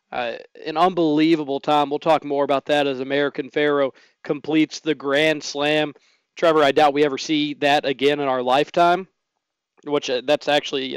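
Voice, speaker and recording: A hoarse man hosts a radio sports talk show in an upbeat, chatty way. His voice sits a bit high and comes across smooth and resonant despite the hoarseness. The studio sound is close and dry. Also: no room sound.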